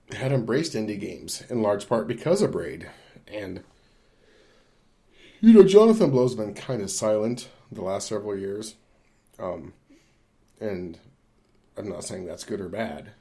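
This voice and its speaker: Male podcast co-host, warm dark tone, slightly low, conversational, halting and measured. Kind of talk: casual discussion of a film and games.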